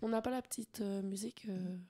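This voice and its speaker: A woman's voice: medium pitch, steady tone, fairly quick, over a noisy crowd. A young woman hosting a light quiz of news anecdotes on the radio, hesitant and often breaking into giggles. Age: young adult. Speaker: radio show host presenting a quiz game.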